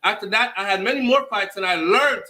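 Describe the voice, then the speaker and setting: A man's voice: high voice, brisk, pushed and bright. A man from Jamaica with a Jamaican accent telling a personal story emphatically, slipping into patois and swearing freely. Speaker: storyteller recounting own life. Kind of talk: animated personal storytelling to camera.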